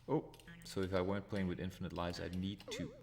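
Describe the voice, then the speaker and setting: A man's voice: low voice, brisk, gravelly, crowd noise. A Danish man with a Danish accent, hosting a retro gaming channel, giving live commentary as he plays and thinks aloud. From Denmark. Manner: thinks aloud, chuckles at his own deaths.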